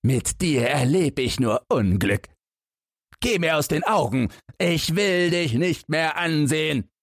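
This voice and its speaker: A man's voice: a little high, animated, slow pace, slightly rough, no room sound, studio quality, voice pushed up, full character voice. A weary, disapproving father from a fairy tale, voiced by a male reader. He scolds sternly in a raised, exasperated tone, ashamed of his son.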